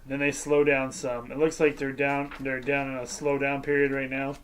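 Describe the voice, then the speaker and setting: A man's voice: mid-range voice, flat delivery, normal speed, slight background noise, low-quality recording. A middle-aged man hosting a casual sports preview show, rambling and hesitant, with a lot of fillers. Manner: rambling, hesitant, breaks into goofy sung tunes.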